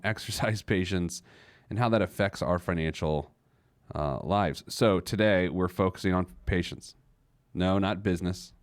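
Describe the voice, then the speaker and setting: A man's voice: deep voice, darkish tone, dry recording, clean studio sound. An American man in his late thirties hosting a casual radio show on his own, rambling along in a wry, conversational way. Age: late 30s. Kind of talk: casual radio monologue on personal finance.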